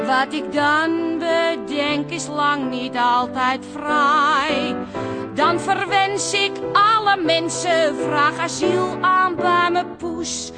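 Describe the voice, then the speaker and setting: A woman sings a comic, theatrical cabaret number in a high voice. The delivery is slow, with prominent music behind.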